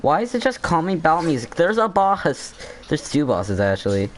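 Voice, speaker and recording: A man's voice: on the high side, sing-song, moderate pace, a little hiss or hum. A man giving casual gameplay commentary, rambling and thinking aloud as he plays. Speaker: gamer commentator.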